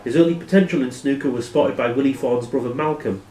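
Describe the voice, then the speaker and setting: A man hosting a quiz as quizmaster, reading questions aloud in a plain, matter-of-fact way and giving brisk rulings. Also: medium pitch, mellow. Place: live in a pub. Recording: echoey room, distant microphone.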